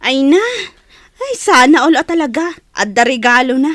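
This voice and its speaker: A woman with an Ilocano accent playing a cheeky, excitable young schoolgirl in a radio drama. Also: high voice, normal speed, no room sound, clean studio sound. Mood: surprised, excited.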